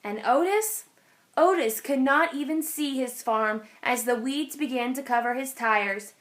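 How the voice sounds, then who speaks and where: A woman narrating a children's picture book aloud in an expressive storytelling style. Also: high voice, measured pace.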